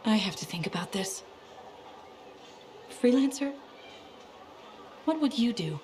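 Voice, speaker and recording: A woman's voice: fairly high pitch, sing-song, slow pace, a little mellow. An actress playing a careworn, practical woman farmer in a video game, guarded but kindly. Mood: torn, softening. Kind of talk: video game cutscene acting.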